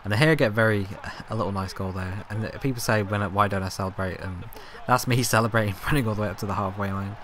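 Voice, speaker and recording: A young man talks over football gameplay in a somewhat low, hoarse voice that keeps threatening to give out. He rambles with ums and restarts, and a faint hum sits under the home recording. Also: fast talker, few pauses, fairly bright tone. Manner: rambling, filler-heavy, self-correcting. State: hoarse, coughing, voice going. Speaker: gaming YouTuber. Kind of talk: casual gameplay commentary.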